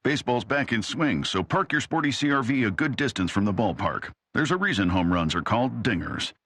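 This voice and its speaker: A male announcer delivers a light-hearted radio commercial. His voice is mid-pitched with a lively, varied intonation. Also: a little fast, slight background noise.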